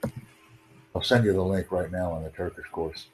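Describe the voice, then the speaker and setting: A man's voice: low voice, steady tone, brisk, low-quality recording. A man hosting a casual video chat, earnestly promoting his language course and repeating himself. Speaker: language-learning channel host.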